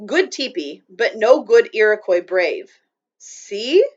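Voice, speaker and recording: A proud, stern little warrior man barks short, clipped commands, as a woman narrator puts him on. He speaks loudly and bluntly, in broken phrases, on a slightly dull storybook recording. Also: mid-range voice, very animated, takes it slowly.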